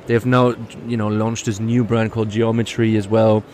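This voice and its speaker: A man's voice: fairly low pitch, level tone, normal speed, a little mellow. A man casually talking through a motor show visit, hesitant and full of repeats. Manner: hesitant, lots of fillers and repeats. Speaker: car show reviewer. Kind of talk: casual walkaround chat. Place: busy motor show hall. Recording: noisy show floor.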